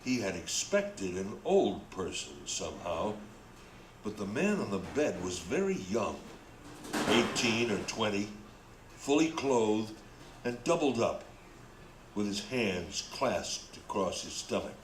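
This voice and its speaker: Fairly low-voiced male narrator, roomy. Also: sing-song, takes it slowly. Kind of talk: scripted story narration for radio play. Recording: roomy, faint background noise.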